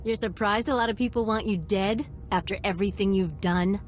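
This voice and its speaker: An actress playing a bitter, vengeful fighter in a video game, hard and accusing. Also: female voice, medium pitch, a little fast, soft background music, natural voice. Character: bitter, vengeful female fighter confronting her target.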